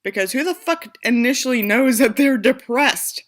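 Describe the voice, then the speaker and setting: A woman's voice: sits high, lively intonation, normal speed, soft background music. A woman reading an audiobook aloud with theatrical delivery and sarcastic, sweary asides. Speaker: audiobook reader and commentator. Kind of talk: scripted narration with sarcastic asides.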